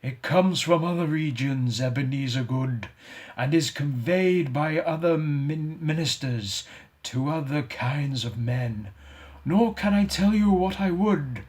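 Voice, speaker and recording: A man voicing the mournful ghost of a dead businessman who drags a chain and laments in a solemn, drawn-out wail. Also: fairly high pitch, darkish tone, voice pushed up.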